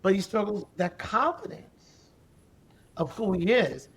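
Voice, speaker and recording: Woman with a deep, raspy voice. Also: measured pace. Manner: animated, emphatic, repeats key phrases. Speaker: podcast guest, special education advocate. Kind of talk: conversational interview storytelling.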